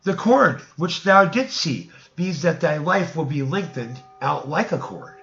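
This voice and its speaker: A man's voice: on the high side, lively intonation, measured pace, gravelly, fairly bright tone, dull audio, soft background music, full character voice. A man voicing a grasping, brazen innkeeper and dream interpreter in a storybook, declaiming insistently in archaic speech. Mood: smugly insistent.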